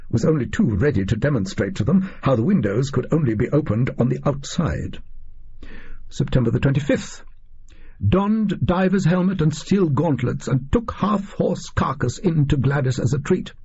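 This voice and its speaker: A man's voice: medium pitch, dark tone, breathy edge, dull audio. A man voicing a pompous, eccentric explorer-scientist reading out his diary in clipped, self-important phrases, for an audio story. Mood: self-satisfied.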